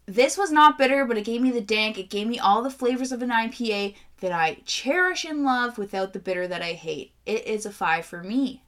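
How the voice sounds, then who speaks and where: A woman's voice: on the high side, expressive, a little fast, few pauses, fairly bright tone. A young woman co-hosting a casual beer-tasting podcast, joking and swearing freely as she rates beers. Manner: swears freely, loose and playful. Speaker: podcast co-host.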